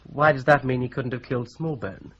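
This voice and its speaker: An actor playing a polite, careful young solicitor in a radio drama, precise in manner. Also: male voice, mid-range voice, a little fast, a little hiss or hum, close to an everyday voice. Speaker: solicitor at the firm. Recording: dull lo-fi radio recording.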